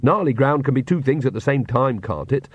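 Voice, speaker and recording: A man's voice: mid-range voice, lively intonation, fairly quick, slightly rough, dry recording. Man reading as a sensible, practical witch woman. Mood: reasoning.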